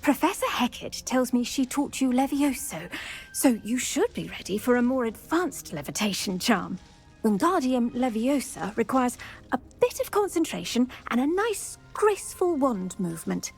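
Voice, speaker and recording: A bubbly plant-loving professor talks to her student in a high, sing-song voice. Her speech is cheerful and encouraging, and faint game music plays behind. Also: sing-song, normal speed, husky, heavily acted. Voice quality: bubbly and enthusiastic. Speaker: herbology professor.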